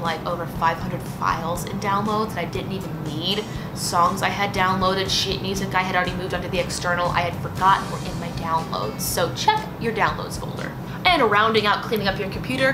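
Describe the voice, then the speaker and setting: Chatty young woman vlogger, roomy sound. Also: mid-range voice. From United States. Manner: lively, chatty, punchy asides. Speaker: lifestyle vlogger. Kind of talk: casual direct-to-camera advice. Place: small indoor room with bookshelf. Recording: roomy with background music.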